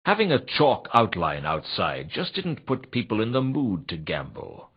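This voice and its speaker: Noir game detective: world-weary and wry, lowish voice with widely swinging intonation, dry deadpan narration. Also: male voice, breathy edge, exaggerated voice. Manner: deadpan, dry, brooding delivery. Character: world-weary, wisecracking male private investigator, hardboiled noir. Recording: slightly dull, lo-fi game audio.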